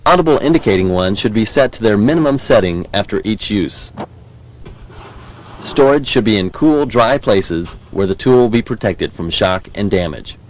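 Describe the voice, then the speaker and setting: A low-pitched American man narrates an instructional film. His delivery is measured and formal, explaining each step as written in a script. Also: expressive. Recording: 1990s VHS training film soundtrack.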